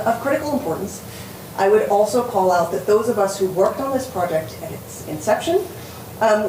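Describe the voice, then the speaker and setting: A woman giving an earnest conference talk, often pausing on fillers. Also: mid-range voice, darkish tone. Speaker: panel speaker. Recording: echoey hall from far off, noisy.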